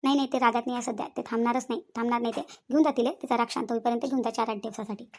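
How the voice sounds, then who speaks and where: Cartoon village auntie, voiced by a woman: middle-aged placating peacemaker, high-pitched, brisk, chatty, Varhadi Marathi accent. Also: fairly even intonation, natural voice. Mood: calming, insistent. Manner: talkative, reassuring, repetitive persuasion. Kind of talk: comedy cartoon dialogue.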